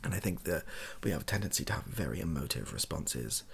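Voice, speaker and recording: A softly spoken British man improvising a monologue as a video host, hesitant, thinking aloud and correcting himself as he goes. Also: extremely low pitch, animated, quick pace, gravelly, breathy edge. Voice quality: soft-spoken.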